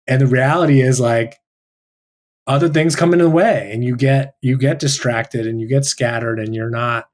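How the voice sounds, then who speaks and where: A male teacher sharing personal reflections in a thoughtful, halting way with many restarts, over a video call. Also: mid-range voice, fairly even intonation.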